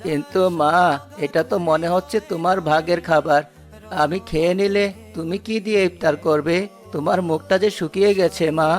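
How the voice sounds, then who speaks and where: A man voicing a humble, weary old beggar in a cartoon, creaky and pleading. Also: fairly high pitch, steady tone, normal speed, soft background music, lightly acted. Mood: concerned. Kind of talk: cartoon dialogue.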